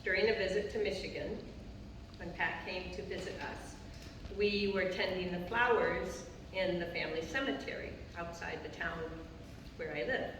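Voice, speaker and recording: A woman giving a measured, prepared public talk as a co-author at a library event. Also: on the low side, background noise. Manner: measured, reads figures clearly, addresses audience. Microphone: distant room mic. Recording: echoey hall recorded from far off.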